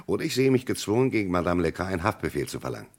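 An actor in a vintage crime radio play playing a stern police commissioner who questions curtly and insistently. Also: male voice, deep voice, very animated, very fast. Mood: pressing, stern. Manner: curt, insistent interrogator. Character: stern, probing French police commissioner, a man.

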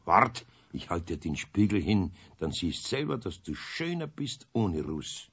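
A man's voice: sits low, sing-song, a little slow, a bit bright, husky, heavily acted. An actor playing a good-natured, grumbling older master craftsman with a Bavarian accent in a 1970s children's radio play. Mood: fond. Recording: dull vintage radio recording, faint noise.

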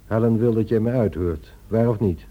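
An actor playing a guarded, courteous husband in a radio play, speaking in a measured, quietly firm and wry way. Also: male voice, fairly low pitch, phone-quality sound, lightly acted.

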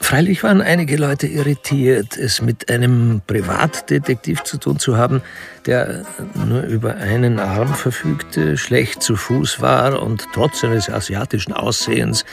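A man narrating a crime radio play in a dry, deliberate, ironic way. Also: medium pitch, creaky. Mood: wry. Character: wry, deliberate male narrator of crime story. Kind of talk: scripted narration. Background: music.

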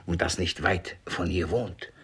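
Tight-fisted, distrustful old patriarch for a comic radio play; heavily rasping, grumbly, quick to bluster. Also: male voice, deep voice, a bit bright, full character voice. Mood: brusque, controlled. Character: miserly, suspicious, irascible old father hoarding gold. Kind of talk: classic comedy radio play acting.

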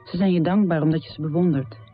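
Radio drama: actress as poet's daughter, sincere and devoted, band-limited recording, faint music underneath. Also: female voice, on the low side, steady tone, fast talker, highs cut off, close to an everyday voice. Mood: earnest, mournful. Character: earnest, devoted daughter of a poet.

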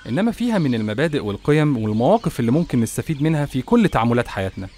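Very fast, lively Egyptian male narrator. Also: mid-range voice, slight background noise. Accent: Egyptian Arabic. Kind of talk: educational religious storytelling narration.

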